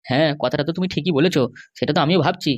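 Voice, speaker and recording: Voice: medium pitch, rapid-fire, no echo, dull audio, natural voice. A performer voicing a compliant but grumbling, worried Bengali husband and father in a comedy cartoon, with a placating, hesitant manner. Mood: doubtful. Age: middle-aged. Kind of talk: animated comedy cartoon dialogue.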